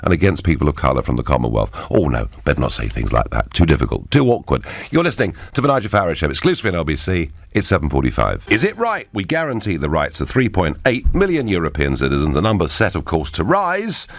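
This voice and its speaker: A man's voice: very deep voice, wildly swooping pitch, fast talker, creaky, few pauses, dry recording, clean studio sound. A middle-aged man hosting a radio phone-in show, talking in an emphatic, opinionated way with wry asides. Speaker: radio talk show host.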